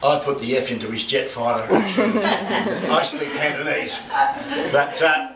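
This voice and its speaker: A man's voice: fairly high pitch, lively intonation, takes it slowly, a loud crowd. An older man gives a rambling, anecdotal speech at an exhibition opening, with many restarts and bawdy asides. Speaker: guest speaker opening an art exhibition. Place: gallery with a crowd. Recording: echoey room, far from the mic, noisy.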